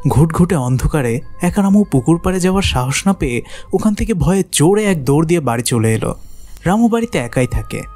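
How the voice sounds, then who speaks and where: A man's voice: fairly high pitch, big pitch swings, brisk, dark tone, soft background music. A man narrating a children's cartoon folk tale as a dramatic scripted storyteller.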